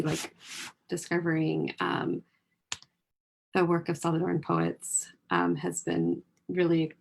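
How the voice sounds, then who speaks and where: Hesitant woman with heavy vocal fry. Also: fairly low pitch. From California, United States. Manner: hesitant, many fillers and restarts. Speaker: writer and fellow in a panel conversation. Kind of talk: reflective casual conversation.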